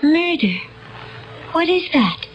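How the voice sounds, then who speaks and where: A woman's voice: high-pitched, sing-song, takes it slowly, full character voice. A woman playing an innocent, horrified young woman of a futuristic world, in dramatic, pleading radio style. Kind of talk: radio mystery drama acting. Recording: dull old radio broadcast, some noise.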